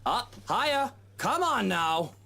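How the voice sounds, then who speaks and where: Haughty, theatrical rich husband, swooping delivery. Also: male voice, extremely high pitch, sing-song, takes it slowly, creaky, bright, forceful tone, exaggerated voice. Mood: bossy, indignant. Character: pompous, dramatic wealthy husband bossing movers. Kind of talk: video game voice acting.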